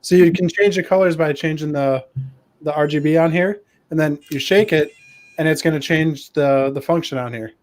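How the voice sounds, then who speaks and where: A man's voice: a little high, normal speed, a little mellow. A man casually demonstrating a project as a guest, friendly and plain-spoken. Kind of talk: casual show-and-tell demo.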